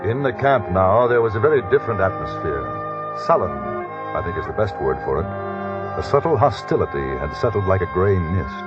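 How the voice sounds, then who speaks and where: A man playing a calm, principled gentleman in an old radio western, speaking in a measured, polite, articulate way. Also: sits low. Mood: reflective. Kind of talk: old-time radio western acting and first-person narration. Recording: dull old radio recording with music.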